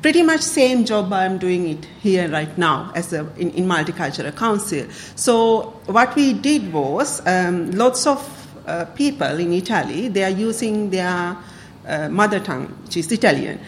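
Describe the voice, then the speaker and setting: A woman's voice: fairly low pitch, lively intonation, unhurried. Woman from Sri Lanka, public talk, hesitant with fillers, faint background hiss. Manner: hesitant, many fillers, warm laughs. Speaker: community council speaker.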